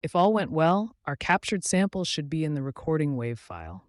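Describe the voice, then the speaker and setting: A man narrating a scripted tutorial as its host, in a measured, instructional way. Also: on the high side, big pitch swings.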